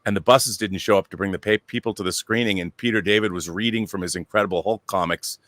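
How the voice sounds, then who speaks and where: A man's voice: lowish voice, fairly even intonation, fast talker, a bit bright, no room sound. A middle-aged man talking casually as a panel guest, telling detailed stories with enthusiasm. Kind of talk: casual anecdotal talk.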